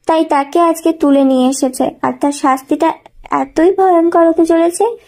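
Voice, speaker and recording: A woman's voice: very high voice, fairly even intonation, normal speed, darkish tone. A woman reading scripted narration of a dramatic thriller story as a storyteller.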